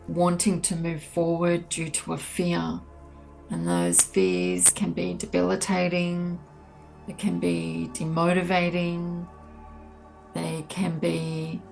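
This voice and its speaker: A woman leading a guided meditation, speaking gently and in a measured way with long pauses between soothing instructions. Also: lowish voice, creaky, poor audio. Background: soft ambient meditation music.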